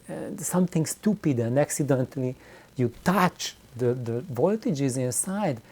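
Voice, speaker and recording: A middle-aged man giving an unscripted, reflective explanation to viewers, haltingly and with words repeated for emphasis. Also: medium pitch, warm tone. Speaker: audio hobbyist video host.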